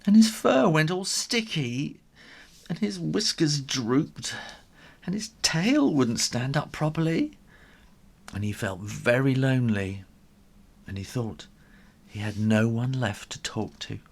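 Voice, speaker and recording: Husky male storyteller, very expressive. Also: mid-range voice. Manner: animated, dramatic, drawn-out. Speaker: children's author and storyteller. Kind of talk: expressive children's story reading.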